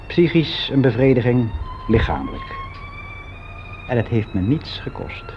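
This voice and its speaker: A man's voice: mid-range voice, wildly swooping pitch, soft background music. Musing older man, dull lo-fi recording. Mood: musing, confiding. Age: older, about sixty. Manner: musing, halting, candid, with drawn-out phrases. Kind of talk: confessional radio drama monologue, conversational and hesitant. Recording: dull lo-fi radio play recording.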